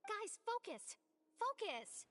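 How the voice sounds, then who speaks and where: Young female game researcher: very high, animated voice, prickly taskmaster, emphatic delivery. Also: slow pace, heavily acted. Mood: bossy. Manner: bossy, indignant, emphatic. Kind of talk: anime-style game voice acting.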